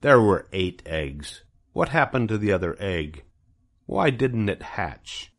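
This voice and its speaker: Man doing a sharp, snarling surly rat. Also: lowish voice, big pitch swings, takes it slowly, heavily acted. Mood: demanding. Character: sly, surly, greedy male barn rat.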